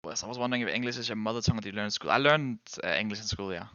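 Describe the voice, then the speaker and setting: A man's voice: on the low side, very fast, bright, forceful tone, no echo, muffled recording. A man whose first language is Danish, chatting casually with viewers while gaming as a streamer, rambling and restarting his sentences.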